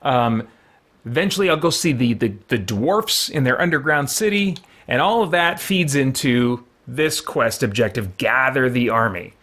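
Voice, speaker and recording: A man's voice: medium pitch, lively intonation, moderate pace, fairly bright tone. Casual, rambling man live streaming a game. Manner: rambling, informal, thinking aloud. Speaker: live streamer, gaming channel host.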